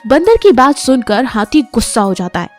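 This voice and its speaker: A woman storyteller with a fairly high voice narrates a children's moral tale. She reads from a script, with light music behind her. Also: very animated, moderate pace.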